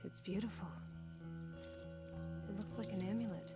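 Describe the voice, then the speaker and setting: A woman's voice: medium pitch, fairly even intonation, normal speed, lightly acted. A young actress playing an earnest young woman in an audio drama, in a naturalistic style. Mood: intrigued. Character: earnest young woman, self-described witch, anxious but pleading. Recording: music behind the voice.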